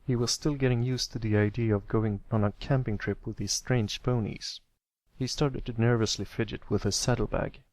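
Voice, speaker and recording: A man's voice: medium pitch, steady tone, moderate pace, a little mellow, dry recording. A man reading a story aloud as an amateur narrator, in scripted storytelling style. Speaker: amateur fan fiction narrator.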